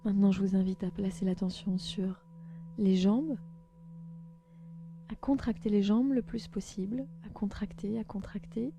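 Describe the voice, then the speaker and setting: Female meditation guide, calm and gentle, mid-pitched, spaced phrasing, music underneath. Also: highs cut off. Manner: calm, gentle, slow instructions with pauses. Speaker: podcast host and yoga nidra guide.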